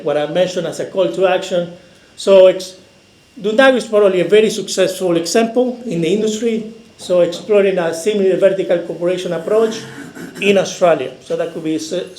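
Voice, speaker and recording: Echoey, high-voiced man presenting research. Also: a little mellow, slight background noise. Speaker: researcher presenting at an industry event. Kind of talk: conference presentation. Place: large echoey conference room. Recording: echoey conference hall.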